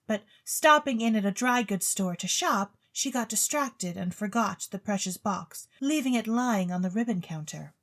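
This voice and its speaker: A woman storyteller reading a scripted tale in a theatrical storybook narration style. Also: medium pitch.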